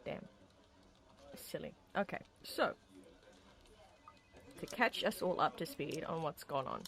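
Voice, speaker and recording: A tired, hoarse streamer who chats casually with viewers while replaying a horror visual novel, rambling with lots of ums and restarts. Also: mid-range voice, expressive, takes it slowly, a bit bright. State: hoarse, sleepy. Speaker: streamer host.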